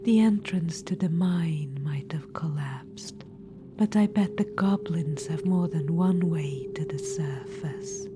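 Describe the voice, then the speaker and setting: Ancient witch-queen whispering, slow and low, a woman doing her, bit of a sneer, noisy cave background. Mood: sly. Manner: soft whisper, coaxing and condescending, calls the listener darling. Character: ancient undead witch-queen, floating, proud and scheming. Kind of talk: ASMR audio roleplay.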